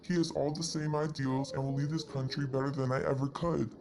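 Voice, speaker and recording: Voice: medium pitch, little pitch movement, a little fast, a crowd in the background, close to an everyday voice, low-quality recording. A performer playing a confident, commanding outgoing president in an amateur history puppet show. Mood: insistent.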